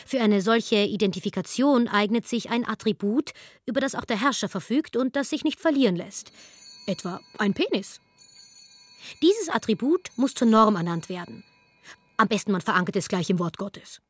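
A woman's voice: medium pitch, expressive, normal speed, faint music behind, natural voice. A woman playing a sardonic lecturer in a radio play, matter-of-fact and drily ironic. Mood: dry, ironic. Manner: matter-of-fact, dry irony. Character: sardonic female lecturer on rule and power.

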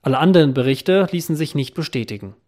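A man reading a scripted radio news report in a measured, even way. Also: a little high. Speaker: news presenter.

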